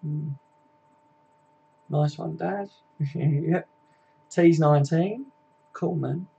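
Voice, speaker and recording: A man's voice: on the high side, very slow, vocal fry, dark tone, lo-fi sound, a little hiss or hum. A man, hosting a casual live stream, chatting matily with viewers and laughing easily. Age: 20s.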